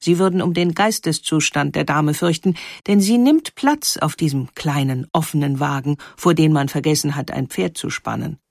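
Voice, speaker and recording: A woman narrating a scripted historical story in a measured, articulate way. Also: low voice, gravelly, darkish tone, no room sound, clean studio sound. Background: music under the voice.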